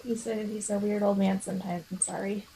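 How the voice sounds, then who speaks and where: A woman playing a tabletop roleplaying game, improvising casual dialogue in a hesitant, halting way. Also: mid-range voice, flat delivery, creaky, dark tone, a little hiss or hum, low-quality recording.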